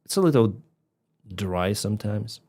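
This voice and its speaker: A man with a Ukrainian accent chats on a live stream, reading donor names aloud and laughing easily between them. His voice sits fairly low. He talks at an unhurried, drawn-out pace. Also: lively intonation, mellow. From Crimea. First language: Russian. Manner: chatty, laughs often, reads out donor names. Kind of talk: casual live stream chat reading donations.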